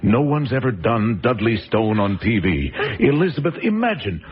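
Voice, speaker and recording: An actor playing a brash, self-assured American TV producer who brushes off warnings. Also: male voice, medium pitch, sing-song, moderate pace, natural voice. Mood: excited. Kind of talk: radio drama acting. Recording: dull lo-fi sound under loud music.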